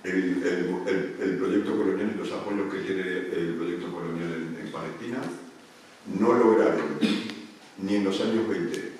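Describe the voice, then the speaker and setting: Deep-voiced man, echoey room. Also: fairly even intonation, a little slow, darkish tone, slight background noise. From Argentina. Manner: hesitant, many restarts and fillers. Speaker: panel speaker. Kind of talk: public talk at a union event. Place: meeting room at a union headquarters. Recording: echoey room, speaker far from mic.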